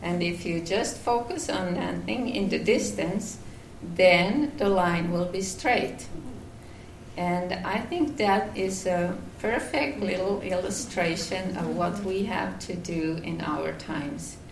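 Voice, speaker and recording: A woman from Finland with a Finnish accent, giving a devotional talk at a retreat, halting with many fillers and restarts. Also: fairly low pitch, gravelly, reverberant, far from the mic, a little hiss or hum, rough recording. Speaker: retreat speaker.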